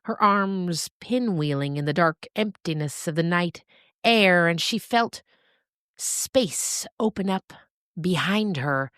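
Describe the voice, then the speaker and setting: A woman narrating scripted fiction as a storyteller, with expressive, deliberate phrasing and dramatic pauses. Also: lowish voice, smooth, no room sound, clean studio sound.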